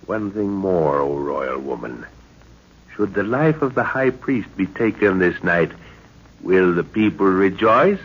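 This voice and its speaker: A man acting a commanding, middle-aged expedition leader in an old-time radio serial, measured and authoritative. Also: low-pitched, lively intonation, breathy edge, heavily acted. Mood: stately, probing. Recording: muffled, noisy old radio recording.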